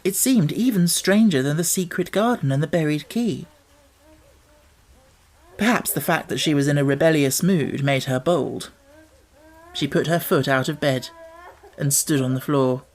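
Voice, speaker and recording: A man reading an audiobook as narrator, telling the story in a measured, expressive way. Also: fairly high pitch.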